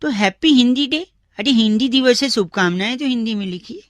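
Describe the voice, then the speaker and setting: A man's voice: very high-pitched, a little fast, no room sound. A man voicing a cheeky, mock-polite young male prank caller in a comic radio bit, with teasing, theatrical delivery. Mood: mock-polite, pedantic.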